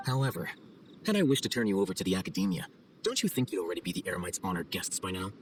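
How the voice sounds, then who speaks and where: A man's voice: low-pitched, sing-song, rapid-fire, distant mic, slight background noise. A man playing an aloof, self-assured scholar in a video game, dry, detached and condescending. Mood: dryly amused. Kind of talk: video game cutscene voice acting.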